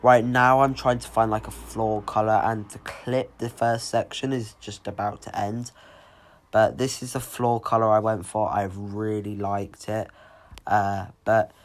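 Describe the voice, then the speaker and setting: Croaky teen lad with a cold. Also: male voice, lowish voice, little pitch movement, unhurried. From United Kingdom. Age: teens. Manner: rambling, lots of ums and restarts. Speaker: gaming YouTuber. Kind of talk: casual gameplay voice-over.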